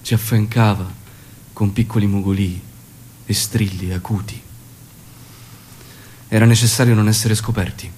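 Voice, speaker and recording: An actor playing a commanding, shrewd guerrilla leader in a stage drama, speaking in a measured, authoritative way. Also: male voice, lowish voice, a little mellow. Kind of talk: theatrical dramatic acting and monologue.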